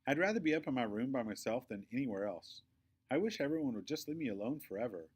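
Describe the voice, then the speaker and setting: A man's voice: mid-range voice, expressive, quick pace, breathy edge. Sullen young boy, read by a man. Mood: hurt, sulky. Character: sullen young boy narrating his own hurts, defiant. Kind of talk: children's novel read aloud, first person.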